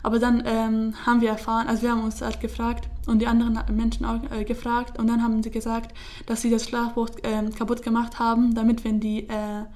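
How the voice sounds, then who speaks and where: A woman's voice: on the high side, monotone, brisk, hardly any pauses, mellow. A young woman from Syria, telling her story in German with many fillers and restarts. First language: Kurdish. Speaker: interviewee. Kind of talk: casual personal account.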